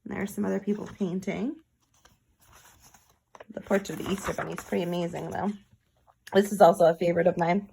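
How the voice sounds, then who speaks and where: A woman's voice: fairly low pitch, fairly even intonation, brisk, vocal fry, long pauses, dark tone, a little hiss or hum, low-quality recording. A woman narrating a children's storybook, with casual asides that explain things to young listeners. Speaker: picture book read-aloud reader.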